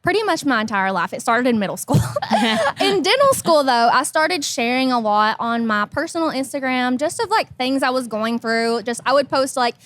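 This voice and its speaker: A woman's voice: high voice, animated, normal speed, few pauses, fairly bright tone, no room sound. A young adult woman, a dentist guest on a podcast, speaking candidly and bubbly with lots of "like". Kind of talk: casual podcast interview.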